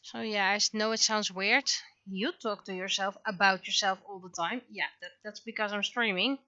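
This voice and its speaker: Woman, bright forceful voice, casual exasperated streamer rant, animated delivery, close dry mic, slightly muffled audio. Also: mid-range voice, moderate pace, no room sound. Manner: animated, exasperated, acts out imagined exchanges. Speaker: livestreamer, webcomic artist. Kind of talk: casual livestream chat, ranting.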